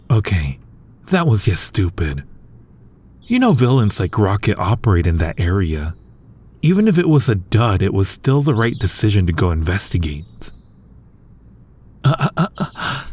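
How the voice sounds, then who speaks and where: A low, husky male actor's take on a supervillain, smug but secretly protective. He talks intimately and conversationally, as in an ASMR audio roleplay, coaxing and teasing his nemesis with drawn-out words. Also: a little mellow, full character voice.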